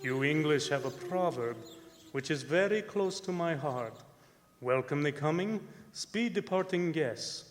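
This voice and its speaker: Radio-play vampire nobleman: higher-set voice, very deliberate, polite yet threatening, in a reverberant hall. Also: expressive, a little hiss or hum. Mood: courteous menace. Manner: slow, formal, deliberate. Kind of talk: old-time radio drama acting on stage. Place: performing arts center stage. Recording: echoing theatre hall, music behind.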